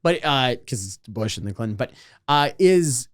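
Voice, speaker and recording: A man co-hosting a casual movie review podcast, excitable and given to acting out joke dialogue. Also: mid-range voice, normal speed. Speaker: podcast co-host.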